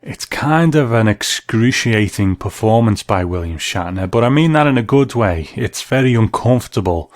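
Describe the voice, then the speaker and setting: A man presenting explanatory commentary on vintage drama in a measured, reflective way with deliberate pauses. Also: lowish voice, animated, dry recording, clean studio sound. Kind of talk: explanatory commentary and plot summary.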